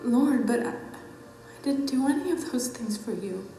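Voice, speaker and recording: A woman's voice: on the high side, animated, unhurried, dark tone. A woman playing a humble, devout subject awed before a king in an audio drama, reverent, hesitant and emotional. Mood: reverent, bewildered. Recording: echoing hall, faint music behind.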